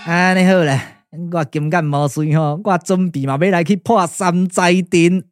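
A man's voice: high-pitched, fairly even intonation, normal speed, soft background music, lightly acted. A man with a Taiwanese accent playing a boastful, bumbling shape-shifting younger brother, chatty and full of exclamations, in a puppet-theatre radio drama. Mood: eager, boastful.

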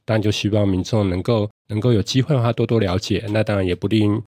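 A man's voice: on the low side, steady tone, fast talker, dark tone, dry recording. A man with a Taiwanese Mandarin accent explaining things earnestly and at length, as a guest judge in a conversational interview.

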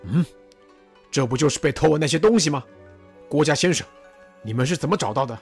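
A woman's voice: sits low, lively intonation, fairly quick, darkish tone, faint music behind. A woman voicing a hot-tempered male army general who blusters and accuses. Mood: demanding.

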